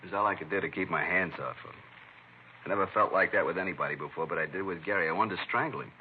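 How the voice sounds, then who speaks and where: A man plays a helpless, distraught American father in a vintage radio drama. His voice is very low and his speech halting and troubled. The recording is muffled, with faint noise. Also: natural voice. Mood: bitter, upset. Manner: halting, upset. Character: distraught middle-aged father, anguished and helpless. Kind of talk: 1950s radio drama acting.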